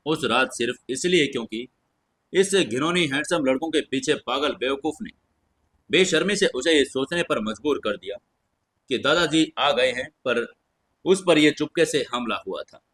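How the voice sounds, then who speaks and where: A man's voice: medium pitch, expressive, moderate pace, a bit bright, husky. A man narrating a fantasy audio story from a script.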